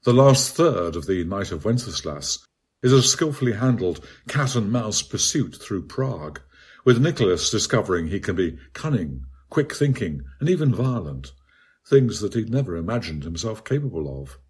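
A man reads his own literary essay aloud as scripted narration, in a measured, articulate and bookish manner. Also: fairly low pitch, big pitch swings, a little mellow, breathy edge. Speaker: author reading own article.

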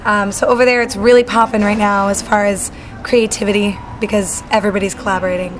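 A woman's voice: medium pitch, little pitch movement, fast, a little hiss or hum, poor audio. A young woman singer-songwriter from Salt Lake City chatting casually in an interview, bubbly and full of fillers. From Salt Lake City, Utah.

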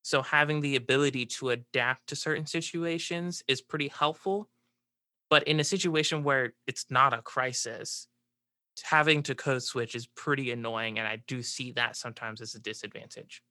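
Bright-voiced young man, dry and close. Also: medium pitch, normal speed, no room sound. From southern United States. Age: young adult. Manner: thoughtful, reflective, searching for words. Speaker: student panelist. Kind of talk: casual discussion.

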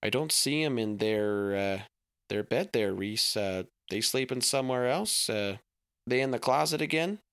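A man's voice: medium pitch, lively intonation, unhurried, fairly bright tone, clear, resonant tone, no room sound, clean studio sound. A man voicing a folksy, overly sweet small-framed father who talks in homespun phrases. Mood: cheery folksy.

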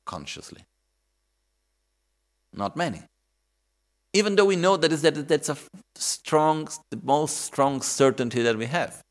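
A man in his thirties teaching on death and effort, speaking English as a second language with a reflective manner. His pitch rises and falls in lively swings, and he keeps checking in with his listeners. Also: unhurried, pauses often. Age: 30s. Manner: reflective, repeats questions to the audience with "okay?" and "no?"; non-native English phrasing. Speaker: Buddhist teacher. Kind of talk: dharma talk.

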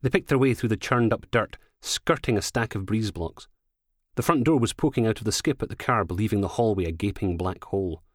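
A man's voice: on the low side, fairly quick, no echo, studio quality. A Scottish man reading a scripted crime novel as its narrator. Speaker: author reading own audiobook.

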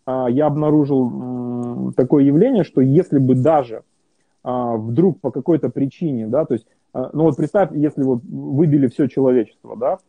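A man speaks haltingly, stopping often to restart his sentences. His voice comes through husky and thin, like a phone call. The sound is dry, with no room around it. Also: medium pitch, no room sound. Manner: hesitant, many fillers and restarts. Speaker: interview guest. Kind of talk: casual conversational interview. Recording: tinny phone-like line.

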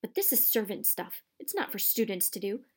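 A woman's voice: mid-range voice, very animated, a little fast, a little mellow, breathy edge. Audiobook schoolboy female narrator performing: privileged, haughty, easily frightened, a protesting whiner. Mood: indignant panic. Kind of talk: audiobook character voice.

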